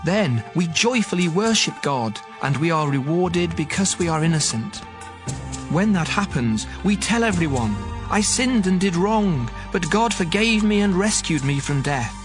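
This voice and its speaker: Over a music bed comes a somewhat high-pitched young man. He is earnest and emphatic, rebuking his elders with declamatory conviction as in an audio Bible drama. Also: animated, unhurried. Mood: uplifted. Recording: music behind the voice.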